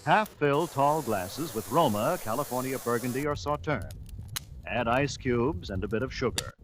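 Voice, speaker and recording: A man's voice: mid-range voice, expressive, moderate pace. An American man announcing on 1940s radio, reading scripted sponsor copy with a polished salesman's delivery on a hissy old recording.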